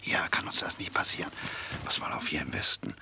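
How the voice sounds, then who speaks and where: A man's voice: a little fast, pushed and bright, whispered. An actor playing a confident, wry fugitive soldier in a radio play, often muttering under his breath. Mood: hushed, instructing. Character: cocksure fugitive soldier leading a wet, ragged escape. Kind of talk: German radio play acting.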